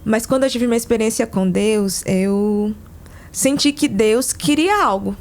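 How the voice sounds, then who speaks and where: A woman's voice: fairly high pitch, measured pace, a little mellow. A woman from Amazonas with a Brazilian accent, giving casual personal testimony as a podcast guest. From interior of Amazonas, Brazil. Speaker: podcast guest, consecrated religious community member.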